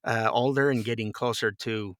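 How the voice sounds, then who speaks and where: A man's voice: medium pitch, normal speed, dry recording. A man in his sixties chatting casually as a podcast guest, telling anecdotes and acting out quoted remarks, close on a studio mic. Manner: animated storyteller, acts out quoted remarks, chuckles as talking. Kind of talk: casual anecdotal interview chat. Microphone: close studio microphone.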